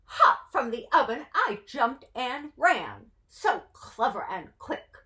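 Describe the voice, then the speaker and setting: A woman's voice: fairly high pitch, big pitch swings, takes it slowly, bright, forceful tone, exaggerated voice. A woman voicing a boastful little runaway matzah man from a storybook in proud, singsong rhymes. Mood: boastful, gleeful. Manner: proud, singsong rhyming taunts.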